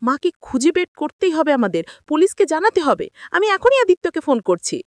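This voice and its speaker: A woman's voice: sits high, big pitch swings, a little fast, no room sound, pitched above the performer's own voice. A woman voicing a defiant, agitated young wife in a family soap, urgent and insistent.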